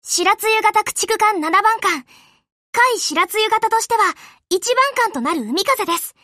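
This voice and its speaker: An actress voicing a gentle, courteous young warship girl who serves her admiral in an anime game, with a deferential, polite manner. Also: extremely high pitch, lively intonation, measured pace, dry recording, clean studio sound, exaggerated voice. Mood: polite, composed. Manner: soft-spoken, courteous, deferential. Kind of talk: Japanese anime game voice acting.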